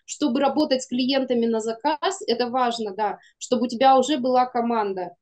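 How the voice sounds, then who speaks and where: Higher-pitched woman chatting informally. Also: brisk, a little mellow. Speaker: guest, animation studio producer. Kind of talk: casual interview chat. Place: room indoors, video call.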